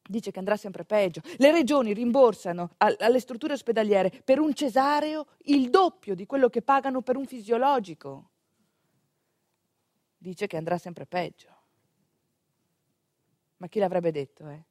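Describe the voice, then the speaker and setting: Expressive stage storyteller, a woman, dry sound. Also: a little high, no echo. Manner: expressive, with pointed pauses and rhetorical asides. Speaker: stage actress narrating a monologue. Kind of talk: conversational theatrical storytelling.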